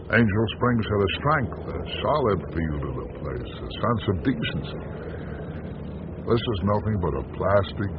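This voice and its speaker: An actor playing a world-weary, bitterly sardonic small-town American newspaperman in a radio drama, speaking with theatrical deliberation. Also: low voice. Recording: dull lo-fi radio broadcast, noisy.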